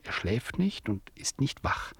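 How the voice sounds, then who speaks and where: An actor narrating a radio play as a measured, wry storyteller who addresses the listener. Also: male voice, no echo, natural voice. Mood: wry storytelling.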